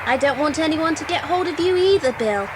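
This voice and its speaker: High-voiced anxious British girl. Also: lively intonation, fairly quick. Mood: worried. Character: anxious, affectionate young girl. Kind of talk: children's audio drama acting. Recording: noisy cassette with vehicle rumble.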